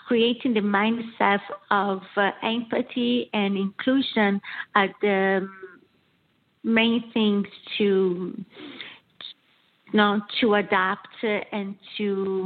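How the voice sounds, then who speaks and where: Slow Brazilian woman on a phone line. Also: mid-range voice. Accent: Brazilian Portuguese. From southern Brazil. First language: Portuguese. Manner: measured, thoughtful, with fillers. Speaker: guest coach. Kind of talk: interview answers.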